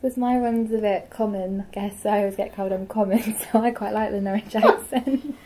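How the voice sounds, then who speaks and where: A woman's voice: mid-range voice, steady tone, normal speed, dark tone, a little hiss or hum. A young woman interviewing an older university employee, asking casual, slightly hesitant questions. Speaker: student interviewer. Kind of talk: oral history interview.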